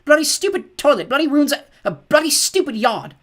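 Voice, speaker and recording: A man voicing a worried mother of a sick baby, shrill when upset and soft when tender. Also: lively intonation, fast talker. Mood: distraught.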